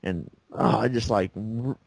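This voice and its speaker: A man's voice: on the low side, a little slow, vocal fry, a crowd in the background. A man co-hosting a casual game review chat, rambling with lots of fillers and laughing often. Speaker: co-host of a game review show. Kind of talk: casual gaming discussion.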